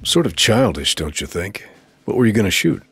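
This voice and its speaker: An actor playing a stern, sardonic military interrogator in a video game, with a gravelly voice. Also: male voice, lowish voice, sing-song, normal speed, fairly bright tone, no room sound, exaggerated voice. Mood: skeptical. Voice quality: husky, gravelly. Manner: incredulous, sardonic.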